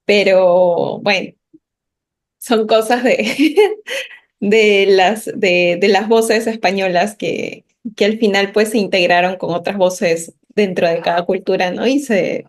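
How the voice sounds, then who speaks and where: A woman's voice: medium pitch, steady tone, takes it slowly. A woman with a Peruvian accent chatting casually as a co-host, choosing her words in a thoughtful, hesitant way. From Peru. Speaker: podcast co-host. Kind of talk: casual conversation.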